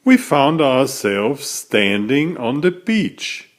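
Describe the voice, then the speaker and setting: Slow, very animated male storybook reader. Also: mid-range voice. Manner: deliberate, dramatic storytelling. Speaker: children's book author reading aloud. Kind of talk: expressive scripted storybook narration.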